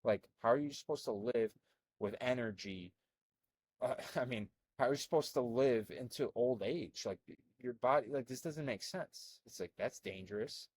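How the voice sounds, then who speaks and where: A young man from Albania who grew up in America, talking over on-screen text in a rambling, animated way full of fillers and restarts. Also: medium pitch, normal speed. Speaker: health coach. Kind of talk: casual commentary reading from a screen.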